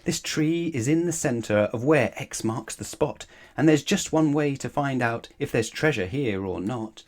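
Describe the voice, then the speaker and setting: A man's voice: mid-range voice, expressive, measured pace, darkish tone. Storybook heroine: eager, caring little girl adventurer at the controls, played by a male reader. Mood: excited. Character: eager, kind-hearted young girl pilot adventurer.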